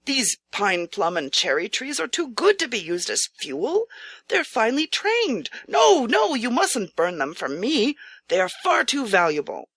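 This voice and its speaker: Disguised nobleman as wandering priest, audiobook female reader: earnest, courteous, bright voice, dry recording. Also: a little high, big pitch swings, a little slow, husky, dry recording, full character voice. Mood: astonished protest. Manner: earnest, kindly, formal old-fashioned speech. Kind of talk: audiobook character acting.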